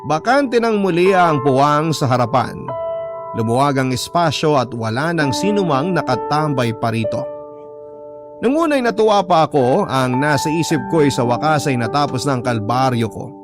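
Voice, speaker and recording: A Filipino man playing a shop owner, narrating his own remorseful story for a radio drama in a measured, confessional way. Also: a little high, animated, natural voice. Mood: reflective. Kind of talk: first-person dramatised narration for radio programme. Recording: loud music bed behind voice.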